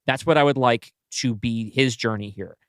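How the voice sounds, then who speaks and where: A man's voice: mid-range voice, moderate pace, fairly bright tone, clear, resonant tone, no echo, clean studio sound. A man co-hosting a podcast, brainstorming in a casual, informal way and often checking in with "right?". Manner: informal, frequent "like" and tag "right?". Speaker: podcast co-host. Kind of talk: casual brainstorming chat about a story.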